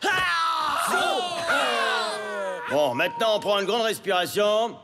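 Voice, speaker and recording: A man's voice: sits high, very animated, slow pace, background noise, heavily acted. A man voicing a solemn, sententious karate instructor in a French comedy cartoon, giving instructions theatrically. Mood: instructing. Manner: instructive, sententious, barking drill shouts. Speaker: karate teacher. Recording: loud music behind the voice.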